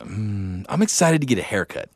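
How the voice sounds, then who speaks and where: A man's voice: fairly low pitch, sing-song, moderate pace, slight background noise. A man co-hosting a filmmaking podcast, chatting in an informal bro style, recorded in a studio. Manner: informal, dude-heavy bro talk. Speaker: podcast co-host. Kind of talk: casual banter on a filmmaking podcast.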